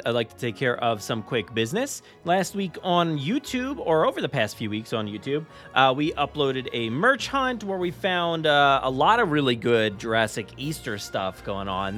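A man's voice: mid-range voice, fairly bright tone. A man hosting a podcast, chatting casually and informally in a rambling way. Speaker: podcast host. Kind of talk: casual chatty show intro.

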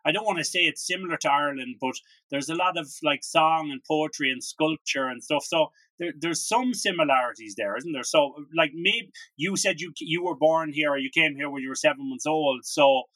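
A man's voice: on the high side, sharp tone, no room sound. A man hosting an interview, who often repeats and restarts words as he frames his questions. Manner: hesitant, repeats words while asking questions. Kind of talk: casual interview.

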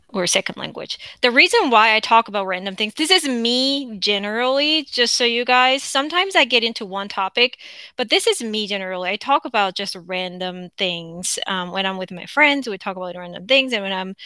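A woman's voice: mid-range voice, bright, forceful tone, clear, resonant tone, no echo. A Korean woman hosting her own podcast, talking in a rambling, chatty way with plenty of fillers and restarts, with a Korean accent. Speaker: podcast host. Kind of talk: casual unscripted monologue.

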